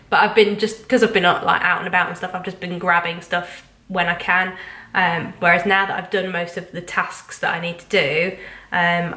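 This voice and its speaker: Young woman, stuffy nasal cold voice, lowish pitch, chatty vlog, roomy echo. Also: pushed and bright. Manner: chatty and rambling, many ums and likes. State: congested with a cold. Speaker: vlogger. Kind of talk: casual chat to camera. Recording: echoey room.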